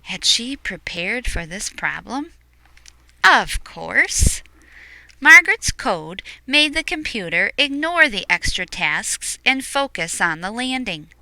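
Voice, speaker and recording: A woman reading a picture book aloud expressively, explaining things to young listeners in hushed asides. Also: on the high side, big pitch swings, slow.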